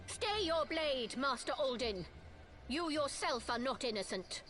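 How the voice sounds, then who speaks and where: An actress voicing a composed, dignified noblewoman leader in a video game, speaking in a formal, measured, commanding way. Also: high voice, animated, fairly bright tone. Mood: stern, calm. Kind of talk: video game cutscene acting.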